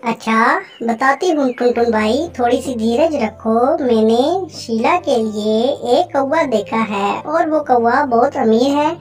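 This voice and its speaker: A woman's voice: fairly high pitch, unhurried, hardly any pauses, lightly acted. A woman voicing a friendly, helpful female little bird in a cartoon moral story, reassuring and cheerful. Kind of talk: animated moral story dialogue. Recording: music under the voice.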